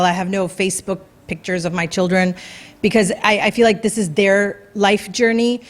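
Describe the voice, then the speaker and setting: Woman telling her own story to an audience, earnest and chatty, lots of ums and restarts, ordinary middle pitch. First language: English. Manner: earnest, conversational, many fillers and restarts. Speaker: speaker at a community talk night. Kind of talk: personal story talk. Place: event venue before an audience.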